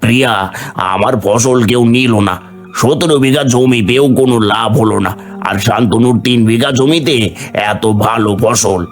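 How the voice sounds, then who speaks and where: A man's voice: medium pitch, normal speed, faint music behind, close to an everyday voice. A man playing a troubled, proud farmer. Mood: despondent. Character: proud, troubled elder-brother farmer, despondent over losses.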